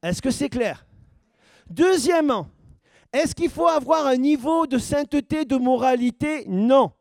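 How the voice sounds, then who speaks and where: High-voiced man preaching with rising emphasis. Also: animated, unhurried, vocal fry, a little mellow, no room sound, studio quality. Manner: questions the congregation, builds to emphatic points. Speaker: pastor. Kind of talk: sermon teaching.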